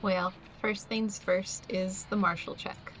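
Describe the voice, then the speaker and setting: A woman's voice: medium pitch, steady tone, slow pace, fairly bright tone, faint music behind, rough recording. A woman playing a tabletop game, talking casually and a little hesitantly.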